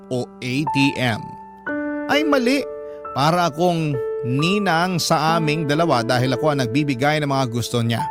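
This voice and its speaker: A man reading a listener's letter aloud in scripted narration, reflective and resentful in tone. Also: fairly high pitch. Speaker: letter reader. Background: background music.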